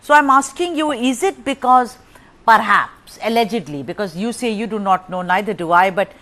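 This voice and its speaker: A woman's voice: mid-range voice, moderate pace, a bit bright. Probing news anchor woman. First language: Tamil. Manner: probing, pressing questions. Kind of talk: live TV interview.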